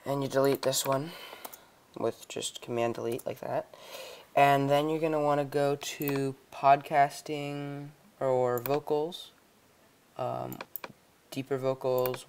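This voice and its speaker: A young man giving a casual software tutorial in a hesitant, improvised way. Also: medium pitch, flat delivery, unhurried, a little hiss or hum. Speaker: tutorial host. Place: indoors, voice-over on a computer screen recording.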